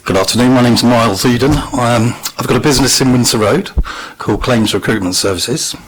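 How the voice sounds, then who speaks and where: A man with a croaky voice, a local business owner, politely making his case to a council meeting. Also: mid-range voice, fairly quick, slight background noise, low-quality recording. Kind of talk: public comment.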